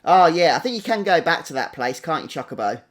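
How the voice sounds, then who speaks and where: A man's voice: on the high side, very animated, a little fast. A man giving chatty live commentary on a video game, thinking aloud and often tagging questions onto his remarks. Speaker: game streamer. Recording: game music behind the voice. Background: video game music.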